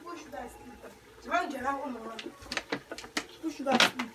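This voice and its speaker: A woman's voice: high voice, unhurried. A woman with a Nigerian accent chatting casually as she cooks outdoors. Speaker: home cook. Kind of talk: casual chat while cooking. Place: outdoor cooking spot in a yard. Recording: very noisy outdoor recording, clattering pots. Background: pots, cutlery and water.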